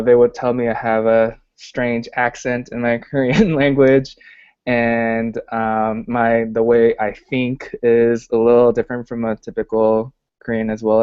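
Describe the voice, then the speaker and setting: A man from Paraguay speaks in a reflective, earnest way, pausing on fillers as he gathers his thoughts. His voice is smooth and clear. The audio is dry and muffled, like a lo-fi call. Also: mid-range voice, no room sound. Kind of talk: casual video-call discussion.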